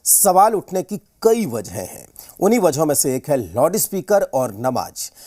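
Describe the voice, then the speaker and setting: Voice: medium pitch, very animated, normal speed, a little mellow. A news anchor presenting scripted political news.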